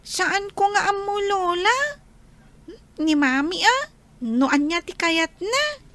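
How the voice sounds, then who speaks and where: Woman acting very high-pitched curious young child. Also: lively intonation, slow pace, fairly bright tone, heavily acted. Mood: wistful. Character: young child, curious granddaughter missing an absent father. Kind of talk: Filipino audio drama dialogue.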